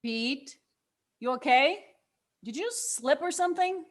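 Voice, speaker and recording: Higher-voiced, mild young peacemaker played by an actress. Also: female voice, expressive, unhurried. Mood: concerned. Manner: hesitant, conversational, conciliatory. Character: kind, level-headed young woman, mild peacemaker. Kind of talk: table read acting of a screenplay.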